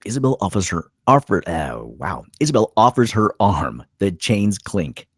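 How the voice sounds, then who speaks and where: Man, screenplay narrator, low pitch, lively intonation, slightly rough husky tone, scripted delivery. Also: moderate pace, no echo, studio quality. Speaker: stage directions narrator.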